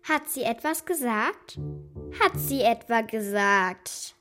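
High-pitched, headstrong young schoolgirl. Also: animated, slow pace, over music. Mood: needling. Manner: blunt, needling, childlike. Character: headstrong, cheeky young schoolgirl, worried and stubborn. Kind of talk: children's radio play acting.

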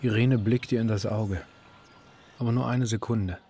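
Ein Schauspieler als ernster Erzähler eines psychologischen Hörspiels, der literarisch und gemessen spricht. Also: male voice, lowish voice, steady tone, dark tone, a little hiss or hum, lightly acted. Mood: grave, measured. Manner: measured, literary, serious. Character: grave male narrator of a psychological radio drama. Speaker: narrator. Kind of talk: literary radio drama narration. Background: music.

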